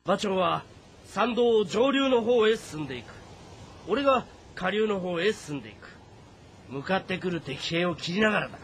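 高い声の豪胆な山の頭目. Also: male voice, sits high, lively intonation, moderate pace, fairly bright tone, slight background noise. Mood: confident. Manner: blunt, assertive. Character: bold mountain rebel leader, rough and confident. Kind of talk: dramatic audio drama acting.

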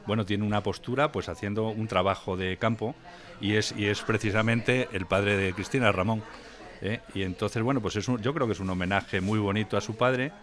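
Very deep-voiced man describing wine. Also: steady tone, moderate pace, fairly bright tone. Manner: knowledgeable, rambling, many fillers and restarts. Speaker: wine expert guest. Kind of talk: casual conversational interview. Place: wine venue indoors.

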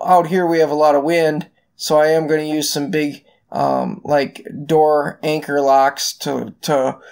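A middle-aged man, hosting a casual do-it-yourself farm project voice-over in a relaxed, folksy way with many ums. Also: fairly high pitch, gravelly. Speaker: farm owner and channel host.

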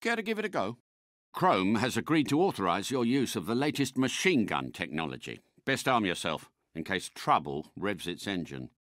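An actor playing a suave, courteous British spy car in a video game, a polite and unflappable mentor with refined, precise phrasing. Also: male voice, fairly low pitch, very animated, moderate pace, fairly bright tone, no echo, studio quality. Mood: briskly instructive. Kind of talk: scripted game character voice lines.

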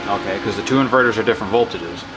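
Enthusiastic man over loud fan noise. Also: lowish voice, rapid-fire. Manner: enthusiastic, rambling, self-correcting. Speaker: hobbyist wind power vlogger. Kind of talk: casual off-the-cuff demo narration.

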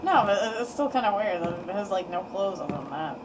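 A woman chatting casually while playing a game, rambling and quick to laugh. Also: mid-range voice, lively intonation. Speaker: game commentator. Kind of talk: casual let's-play chat. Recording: distant mic with loud background noise.

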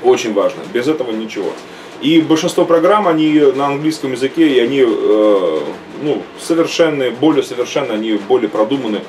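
A man's voice: medium pitch, lively intonation, a little mellow. Middle-aged male clergyman, hesitant teaching delivery full of fillers, webcam from a few feet off, faint room hiss. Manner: hesitant, many drawn-out "uh" fillers. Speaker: archbishop. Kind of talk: teaching seminar. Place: small room at home. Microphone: webcam at desk. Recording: distant mic, faint room noise.

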